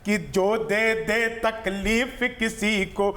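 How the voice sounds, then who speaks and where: A man's voice: high voice, flat delivery, takes it slowly. A man reciting rhythmic verse in a declamatory chant, stretching line endings and repeating lines. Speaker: comic poet reciting at a poetry gathering. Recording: roomy echo, some background noise.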